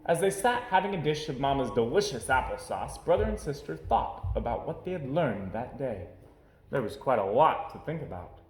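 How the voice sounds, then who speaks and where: Animated man reading a children's storybook. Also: mid-range voice, moderate pace, roomy sound, a little hiss or hum. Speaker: storybook reader. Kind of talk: animated children's read-aloud narration.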